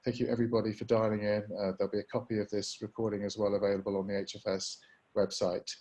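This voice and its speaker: Flat, low-pitched male webinar host. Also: fast talker. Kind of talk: moderating a business webinar.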